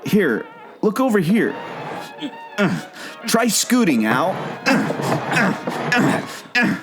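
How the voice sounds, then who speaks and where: A man's voice: high voice, very animated, slow, music in the background, heavily acted. A man playing a wisecracking, knowledgeable adventurer in a comic radio play, explaining things in a quippy way. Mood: curious. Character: wisecracking, knowledgeable male adventurer held captive. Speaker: podcast guest playing himself.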